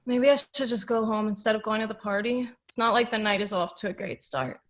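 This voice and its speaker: A woman reading the part of a lonely, grieving teenage girl at a table read, talking quietly to the camera. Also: medium pitch, a little fast, natural voice. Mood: wry. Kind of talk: table read of a film script.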